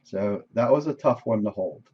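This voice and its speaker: A man reviewing his day's trades on a live stream, casual and thinking aloud, with a voice that is going hoarse. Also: lowish voice, animated, moderate pace, muffled recording. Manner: conversational, thinking aloud, frequent "right?". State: losing his voice, coughing. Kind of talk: casual screen-share trade recap. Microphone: desk microphone.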